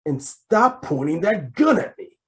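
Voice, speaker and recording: A man playing a brusque, exasperated, wisecracking police detective in an old-time radio comedy mystery, gruff and sardonic. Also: on the high side, big pitch swings, a little slow. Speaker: voice actor.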